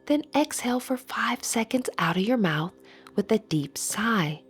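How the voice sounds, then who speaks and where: A woman leading a guided meditation and affirmations in a soft, breathy, soothing voice with measured, deliberate phrasing. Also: on the high side, animated. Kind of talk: slow guided meditation narration with spoken affirmations. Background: background music.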